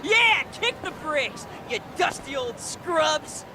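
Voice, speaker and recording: A man's voice: extremely high pitch, sing-song, slow pace, crowd noise, noisy background, exaggerated voice. An actor voicing a hot-headed, mouthy boy with a brash, slangy manner in a game dub. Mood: triumphant taunting. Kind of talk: English dub game cutscene acting.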